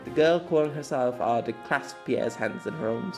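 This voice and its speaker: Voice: mid-range voice, moderate pace, music in the background. An amateur audiobook narrator reading a scripted story expressively.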